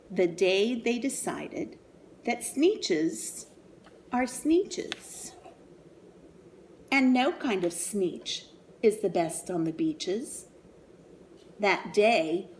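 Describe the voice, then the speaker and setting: A woman reading a picture book aloud to young children in an animated, sing-song way, pausing for asides and questions. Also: mid-range voice, a little hiss or hum.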